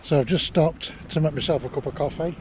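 A man's voice: on the high side, fast talker. A middle-aged man chatting casually as he vlogs a ride along the cliff tops, recorded on an action camera outdoors. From England. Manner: chatty, rambling, chuckles often. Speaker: vlogger. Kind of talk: casual vlog narration while riding. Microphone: action camera on handlebars and held near face. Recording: wind and outdoor noise.

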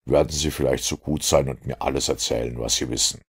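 Man playing a commanding male detective, measured, deliberate, very deep, husky, storybook dialogue. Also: fairly even intonation, exaggerated voice. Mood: composed, deliberate. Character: calm, commanding, analytical male master detective. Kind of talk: audiobook character dialogue.

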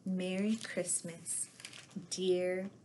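Slow-paced woman reading a storybook aloud. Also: lowish voice, slight background noise, poor audio. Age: middle-aged. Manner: slow, expressive, measured read-aloud delivery. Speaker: storyteller in costume as Mrs. Claus. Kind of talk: reading children's picture books aloud. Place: living room with fireplace, indoors.

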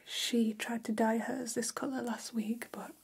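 A woman playing a gossipy, attentive female vampire hairstylist in a gentle, unhurried, breathy whisper for an ASMR roleplay. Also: fairly high pitch, flat delivery, exaggerated voice. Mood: gossipy, confiding. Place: small decorated room, indoors.

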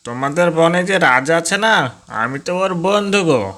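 A man's voice: fairly high pitch, lively intonation, normal speed, bright, forceful tone. A man voicing a sly, greedy fox in a children's cartoon, with a coaxing, sweet-talking manner. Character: sly greedy male fox, wheedling and scheming.

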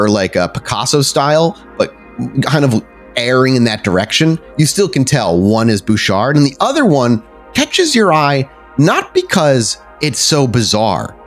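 A man's voice: mid-range voice, sing-song, moderate pace, soft background music. A man improvising descriptive narration as the storyteller of a tabletop roleplaying game, in a casual, conversational way.

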